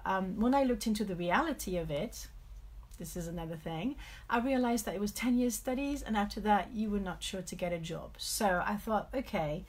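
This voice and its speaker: A middle-aged French woman speaking English with a French accent, giving a candid, reflective motivational talk with personal stories, often correcting herself, in a husky voice. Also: mid-range voice. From France. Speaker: motivational coach hosting a live stream.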